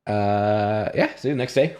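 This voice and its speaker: A man acting as game master, narrating a tabletop game in a casual, halting, improvised and easily amused way. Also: fairly low pitch, darkish tone, clean tone.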